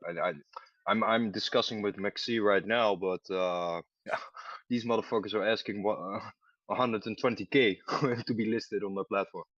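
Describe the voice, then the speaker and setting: A man calling in to a trading chat, hesitant and blunt, filling pauses with "uh". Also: on the low side, steady tone, a bit bright, dry recording. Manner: hesitant, many "uh" fillers, blunt. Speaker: caller, project founder. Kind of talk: casual chat. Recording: dull lo-fi mic.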